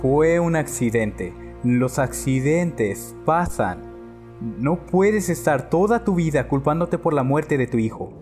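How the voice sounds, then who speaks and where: A man playing a concerned, reassuring psychologist in a game dub, measured and consoling, with a Latin American Spanish accent. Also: a little high, lively intonation, a little mellow. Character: concerned, reassuring male psychologist counselling a grieving patient. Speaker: voice actor in a game fan dub. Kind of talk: dramatic dubbed dialogue. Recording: music behind the voice.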